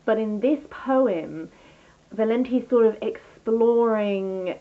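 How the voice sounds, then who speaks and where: A woman's voice: a little high, slow pace. Woman doing a chatty book review vlog, kinda rambly and keen, tinny narrow phone-like audio. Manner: rambling, enthusiastic, self-interrupting.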